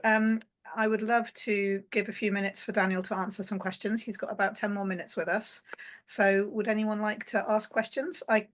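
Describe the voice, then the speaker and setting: Woman, thirties, panel moderator, encouraging, home study, video call. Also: mid-range voice. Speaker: panel host. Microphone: laptop webcam on a video call.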